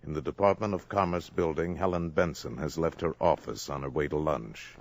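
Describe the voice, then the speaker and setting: A man narrating a 1950s radio drama in a measured, dramatic storytelling manner. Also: a bass voice, vocal fry.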